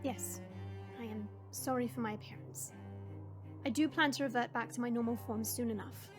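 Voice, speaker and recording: A kindly young princess of dragons, acted by a woman, composed and patient in how she speaks. Her voice is high, and music plays clearly behind her in this audio roleplay. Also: fairly even intonation, normal speed, natural voice. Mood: formal, resigned. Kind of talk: audio roleplay drama acting.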